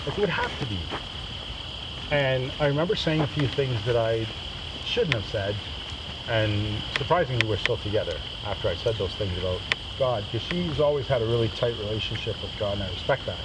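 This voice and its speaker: Guy from trinidad giving a chatty testimony to a group, mid pitch, mic far off, tons of outdoor insect noise. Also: brisk. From Trinidad, moved to Canada as a child. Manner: conversational, often checks in with "right?" and "okay?". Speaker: speaker giving a personal testimony. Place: outdoors at a men's canoe trip gathering.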